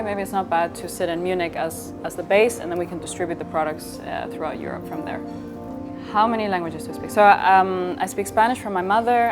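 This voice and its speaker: A woman from Switzerland talking as a company founder in an interview, telling stories in a casual, conversational way and quoting imagined dialogue. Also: fairly low pitch. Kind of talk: interview storytelling in casual speech. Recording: clear background music.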